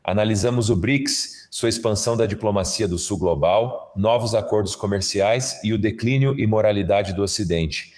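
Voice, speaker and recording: A man with a fairly low voice hosts an interview. He responds agreeably and lays out his question step by step. The delivery sounds like a dubbed conversation. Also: normal speed, hardly any pauses, darkish tone. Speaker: host. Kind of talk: interview questions, Portuguese dub.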